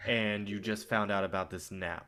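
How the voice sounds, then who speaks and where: A man's voice: lowish voice, steady tone, moderate pace, sharp tone. A man in a staged play reading, acting the part of a curt, domineering film company boss with a dismissive, profane manner. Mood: defensive.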